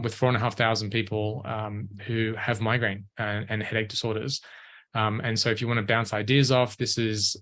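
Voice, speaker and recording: A man hosting a webinar, introducing the speakers in a friendly but halting way with many "um" fillers. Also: lowish voice, a bit bright. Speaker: webinar host and director of operations.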